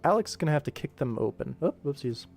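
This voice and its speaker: A man's voice: a little high, sing-song, fast, gravelly, a little mellow, faint music behind. A young man talking casually as a livestream host, rambling playfully and thinking aloud as he works. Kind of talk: casual livestream chat while working.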